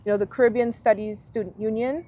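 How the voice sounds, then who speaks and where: A woman in her twenties from Guyana, a university alumna, talking through her experiences in a relaxed, reflective way as an interview guest. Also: fairly high pitch, fast talker. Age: 20s. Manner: reflective, friendly, thinks aloud with fillers. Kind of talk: casual interview chat.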